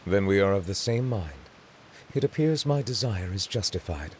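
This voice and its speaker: A stately male judge from a video game, speaking in a low, composed voice. He chooses every word with formal precision and measured calm. His humor is dry. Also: slight background noise.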